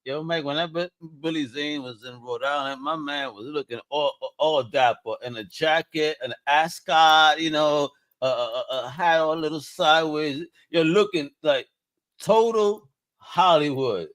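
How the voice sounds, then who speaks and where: A man's voice: a little high, a little slow, fairly bright tone, no room sound. A man with a Puerto Rican accent hosting a podcast interview, talking in an enthusiastic, slangy, hyped-up way. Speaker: podcast host. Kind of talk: casual interview chat.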